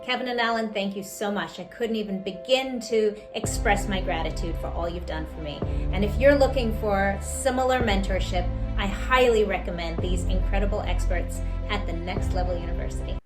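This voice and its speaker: A woman's voice: mid-range voice, normal speed, few pauses. A woman who hosts a podcast, giving an earnest, grateful scripted testimonial. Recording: voice over music.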